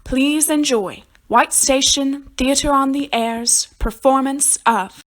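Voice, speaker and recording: A woman announces a radio program from a script. Her voice is fairly high and her delivery very unhurried.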